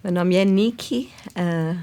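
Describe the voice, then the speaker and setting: A woman with a noticeable English accent in her Portuguese, appearing as a guest on a women's ministry talk show. Her voice sits a little low. She explains at length, pausing on fillers and correcting herself as she goes. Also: warm tone, smooth. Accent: Indian-born, English-raised speaker of Portuguese. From England.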